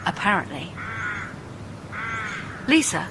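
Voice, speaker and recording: An actress playing a possessive, quietly commanding female ghost in an intimate radio horror drama. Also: on the low side, very animated, takes it slowly, noisy background, heavily acted. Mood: coolly insistent.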